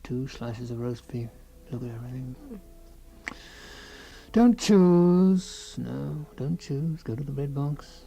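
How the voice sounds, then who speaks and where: A man's voice: mid-range voice, expressive, slow pace, creaky, warm tone, husky, exaggerated voice. An actor playing a fussy, self-absorbed husband in a radio comedy-drama, rambling and savouring small things, stoic about his creeping paralysis. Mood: wistful relish.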